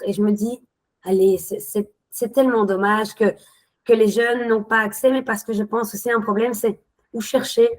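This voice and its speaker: A woman in her thirties, a webinar guest telling her own work experiences, talking hesitantly with many restarts and tangents. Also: fairly high pitch. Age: 30s. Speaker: webinar guest, account manager. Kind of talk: spontaneous testimony.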